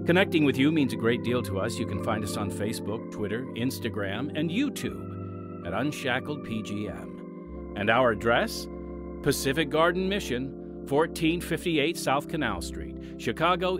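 A man with an American accent hosting a scripted radio program, earnest and emphatic in a polished announcer's delivery. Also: medium pitch. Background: music.

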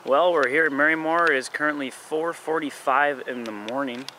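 A man's voice: fairly high pitch, moderate pace, bright, forceful tone, low-quality recording. A man casually joking and commenting while filming model planes at a grassy field at dawn. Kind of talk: casual chat. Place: outdoor grass airfield at dawn. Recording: outdoor camera audio with noticeable background noise.

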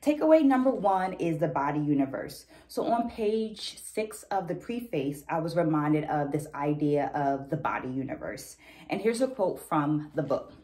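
A middle-aged woman with a fairly low voice presents a book discussion to camera. She speaks as an educator would, articulate and deliberate, laying out her points step by step. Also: lively intonation, warm tone. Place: small indoor room at home. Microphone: phone camera a short distance away.

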